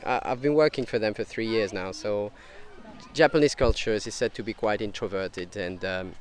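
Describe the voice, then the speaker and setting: A man's voice: fairly low pitch, moderate pace. A man from Europe answering interview questions, hesitant, with many restarts and fillers.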